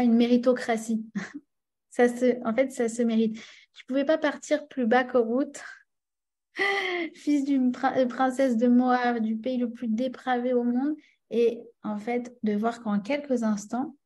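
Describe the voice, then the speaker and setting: A woman with a French accent leads a class in a casual, talkative way. Her voice sits a little high yet sounds warm and mellow. She stops often to check that her listeners follow. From France. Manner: chatty, many fillers and restarts, checks in with listeners. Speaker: religious teacher. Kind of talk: teaching a class.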